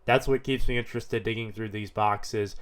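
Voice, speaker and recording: A man with a head cold, slightly hoarse, narrating a vlog in a relaxed, matter-of-fact way. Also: on the low side, normal speed. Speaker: card dealer vlogger.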